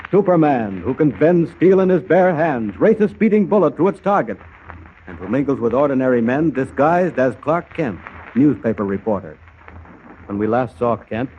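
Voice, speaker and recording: A man's voice: medium pitch, natural voice. A man narrating a serial as announcer, dramatic and declamatory in 1940s radio style. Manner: emphatic, declamatory. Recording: tinny noisy 1940 radio recording.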